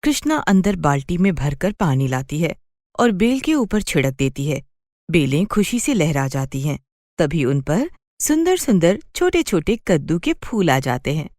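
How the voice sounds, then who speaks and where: A woman narrator tells a scripted children's story in a fairly low voice. Her delivery moves widely in pitch, and the sound is dry and close. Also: very animated, measured pace, darkish tone, no room sound.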